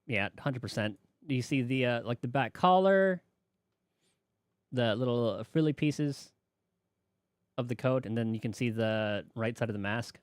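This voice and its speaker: A man doing casual live reaction commentary as a game streamer, excitable and thinking out loud. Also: mid-range voice, measured pace, pauses often, smooth, dry recording, studio quality. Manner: excitable, musing aloud.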